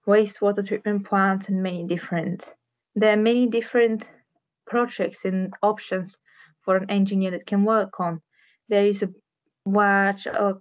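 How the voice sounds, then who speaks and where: A woman in her twenties from Bulgaria, with a Bulgarian accent, giving an informal careers talk to camera as an engineer. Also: medium pitch. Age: 20s. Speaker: civil structural engineer, careers ambassador. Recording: dull, muffled webcam audio.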